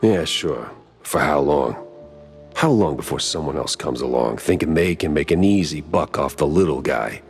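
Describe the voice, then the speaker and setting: Gruff world weary detective mouse, american, deep voice, dry n sardonic, bit of music under it. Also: male voice, expressive, normal speed, heavily acted. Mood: weary, cynical. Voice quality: deep, husky. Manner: gruff, sardonic, clipped noir patter.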